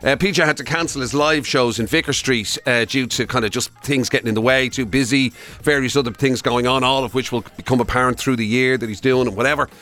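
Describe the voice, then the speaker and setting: Bright-voiced Irish man chatting. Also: mid-range voice, fairly even intonation, fast. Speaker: podcast and radio co-host. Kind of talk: casual chat, comic anecdotes.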